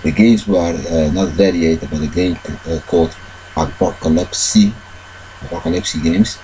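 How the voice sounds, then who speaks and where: A man with a deep, dark voice tells a winding story at his own pace, halting often on fillers and loose phrasing. He keeps the tale going by slipping into the voices of the people in it. A faint hum sits behind him. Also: steady tone, low-quality recording. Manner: retells events with shifts into quoted dialogue. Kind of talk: rambling personal storytelling.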